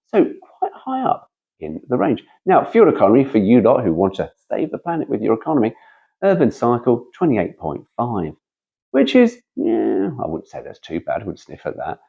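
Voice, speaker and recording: A man's voice: medium pitch, huge pitch swings, moderate pace, creaky, breathy edge, phone-quality sound. A man reading aloud casually as a host, breaking off for chatty, wry, digressive asides.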